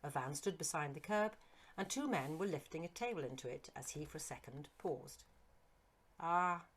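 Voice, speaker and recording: Woman, audiobook narrator, slightly low pitch, expressive literary storytelling, Victorian horror fiction. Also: brisk, husky.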